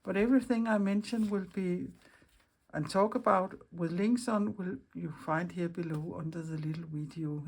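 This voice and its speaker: A woman from Denmark with a Danish accent, hosting a casual craft video, talking in a halting, friendly way while she searches for English words. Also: fairly low pitch, a little mellow, breathy edge. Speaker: craft channel host. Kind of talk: casual craft vlog chat.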